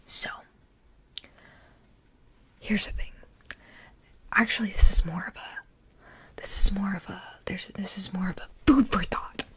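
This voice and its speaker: A young woman whispering close to the mic in a playful, rambling ASMR style, repeating words in soft rhythmic loops. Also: mid-range voice, steady tone, measured pace, long pauses. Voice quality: breathy unvoiced whisper. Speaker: ASMR creator. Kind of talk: ASMR whispering, deliberately silly wrong explanations.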